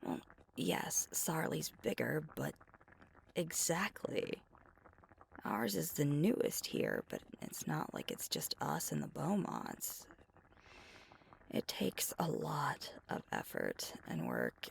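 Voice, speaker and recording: A woman playing a sweet, thoughtful alpha werewolf girlfriend in an intimate, soft-spoken ASMR roleplay, often breathy and musing. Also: deep voice, huge pitch swings, takes it slowly, a little hiss or hum, full character voice. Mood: hushed, uneasy. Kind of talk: ASMR roleplay, intimate one-sided conversation.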